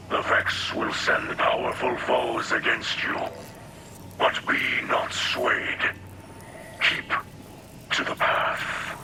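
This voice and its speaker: An actor playing an earnest alien mystic and mentor in a video game, speaking in formal, poetic, deliberate phrases. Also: male voice, medium pitch, very noisy, natural voice. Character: earnest, spiritual alien Fallen splicer mystic, patient mentor. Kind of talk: video game cutscene dialogue. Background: music.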